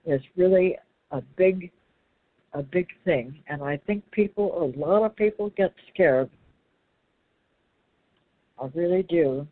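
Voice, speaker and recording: A woman's voice: lowish voice, dry recording. A woman talking earnestly and conversationally about spiritual ideas, repeating phrases for emphasis and restarting sentences, over a thin phone-like connection. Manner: emphatic repetition, broken restarts. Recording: narrow, tinny phone-line sound.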